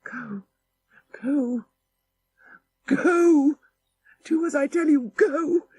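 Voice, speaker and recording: A man's voice: very high-pitched, extremely slow, a little mellow, dry recording, voice pushed up, exaggerated voice. A man voicing a distraught, commanding young Victorian woman in an audiobook story. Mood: frantic, threatening.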